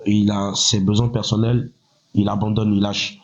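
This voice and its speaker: A man in his thirties from Côte d'Ivoire talks at a steady, measured pace, answering his own rhetorical questions. His voice sits fairly low. The recording sounds slightly muffled and lo-fi. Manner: measured, self-assured, with repeated "voilà" and rhetorical "pourquoi". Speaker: rapper, interview guest. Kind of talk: casual interview talk.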